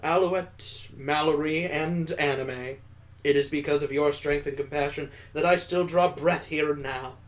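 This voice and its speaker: An earnest, grandiose father from a visual novel, acted out by a man. His speech is formal and declamatory, in a fairly high male voice. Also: steady tone, measured pace, natural voice. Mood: heartfelt.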